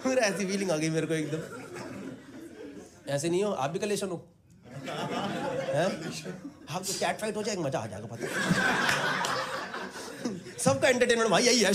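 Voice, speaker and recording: A man in his thirties doing teasing, conversational stand-up crowd work on a handheld mic in a small club. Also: high voice. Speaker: stand-up comedian. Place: small indoor comedy club on stage. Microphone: handheld microphone. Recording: very noisy live club recording. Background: room ambience, audience laughter.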